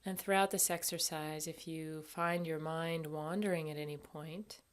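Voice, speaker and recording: A woman with a fairly low voice leads a guided body scan meditation. She speaks as an instructor, gently and unhurriedly. She leaves long pauses between her phrases. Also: steady tone.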